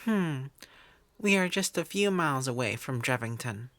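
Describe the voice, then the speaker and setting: A woman's voice: rumbling low, lively intonation, moderate pace, fairly bright tone, dry recording, exaggerated voice. A woman voicing a proud female elf wizard in an audio roleplay, prim and aloof, often sighing. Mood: softening.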